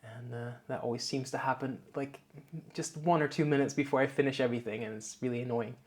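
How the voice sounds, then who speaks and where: Dutch man, mid-pitched, light Dutch accent, relaxed rambling vlogger with plenty of "uh"s, clip-on mic in a home office. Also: quick pace. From Netherlands. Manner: relaxed, many filler "uh"s, rambling explanations. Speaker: YouTuber. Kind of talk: casual vlog talk to camera. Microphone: wireless clip-on mic.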